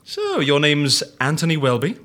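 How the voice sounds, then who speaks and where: A sardonic, swooping, bright-voiced fixer. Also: male voice, a little high, huge pitch swings, normal speed. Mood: wry. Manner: sardonic, theatrical, teasing. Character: wry, mocking man who helps people disappear. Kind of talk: audio drama acting.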